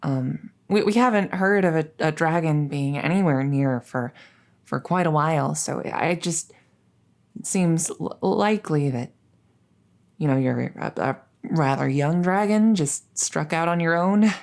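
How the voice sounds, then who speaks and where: A woman playing a coy, flustered young crown princess in an intimate audio roleplay, speaking in a halting, stammering, nervous way. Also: deep voice, a little mellow. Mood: curious, coy. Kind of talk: intimate audio roleplay acting addressed to the listener.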